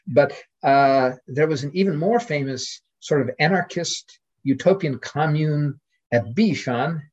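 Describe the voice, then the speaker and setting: A man's voice: a little high, a little slow, a little mellow, husky. A man speaking as a scholarly podcast guest, discursive and hesitant, on dull lo-fi audio. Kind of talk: scholarly conversation.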